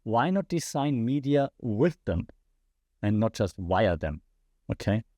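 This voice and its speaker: A man's voice: fairly low pitch, sing-song, slow, dark tone, dry recording. A man with a German accent hosting a podcast, giving passionate solo commentary and putting rhetorical questions to his listeners. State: slightly losing his voice. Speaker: podcast host.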